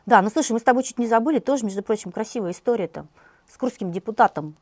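A woman co-hosts a news talk show, delivering her stories briskly and with a wry edge. She speaks fast. The sound is dry and close, with no room echo. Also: a little high, darkish tone. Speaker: co-host, journalist. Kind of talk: casual satirical news chat.

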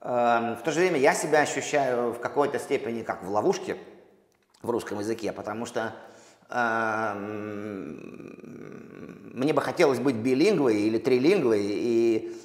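A man from Russia, a poet, answering interview questions slowly and thoughtfully, with many pauses and restarts. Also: mid-range voice. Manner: halting, many fillers and restarts. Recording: echoey room with long reverb.

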